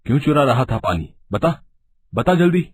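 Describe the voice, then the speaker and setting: A man playing an authoritative, principled villager in an animated storybook tale, with a husky voice. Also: mid-range voice, moderate pace. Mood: accusing, stern. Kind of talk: animated storybook character acting.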